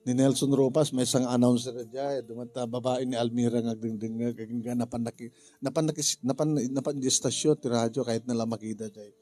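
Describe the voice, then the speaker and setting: A man with an Ilocano Filipino accent talking casually through a drama story in a halting, rambling, jokey way. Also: mid-range voice, flat delivery, warm tone. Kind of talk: casual spoken story briefing.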